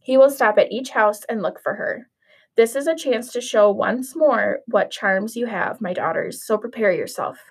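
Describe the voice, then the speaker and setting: A woman's voice: on the high side, normal speed, slightly rough. A woman reading aloud as a pompous, scheming stepmother who slips Spanish words into her English. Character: pompous middle-aged stepmother, fawning and scheming.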